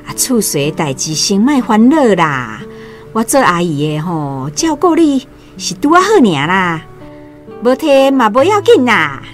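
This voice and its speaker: A woman's voice: mid-range voice, big pitch swings, a little slow, soft background music. An actress playing a kindly, fussing aunt in a radio drama, with a Taiwanese accent and a motherly, exclamatory manner. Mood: reassuring. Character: kindly, fussing young aunt housing her niece.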